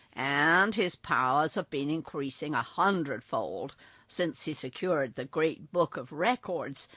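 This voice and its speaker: A woman voicing a wise, commanding old male wizard in a read-aloud storybook, measured and emphatic. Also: deep voice, fairly bright tone, slightly rough, dry recording, exaggerated voice.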